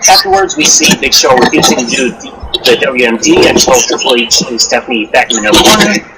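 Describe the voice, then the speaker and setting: Guy doing a steady recap in casual chat, noisy background. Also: mid-range voice, brisk. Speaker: panelist.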